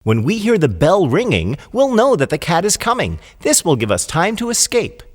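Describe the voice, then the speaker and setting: An eager, confident little mouse from an animated fable, voiced by a man. The pitch is lifted and swoops widely as it explains itself with emphasis. The sound rings with long hall reverb. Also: normal speed, full character voice. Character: very young small mouse, earnest and confident. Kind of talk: animated fable character voice in storybook narration.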